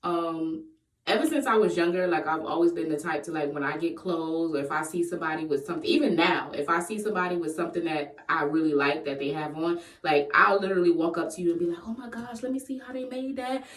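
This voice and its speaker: A woman of twenty-eight chatting casually in a question-and-answer vlog, playful and quick to laugh. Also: on the low side, a bit bright. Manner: playful, laughs often, breaks into sung bits. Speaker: vlogger. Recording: echoey room, voice far from the mic.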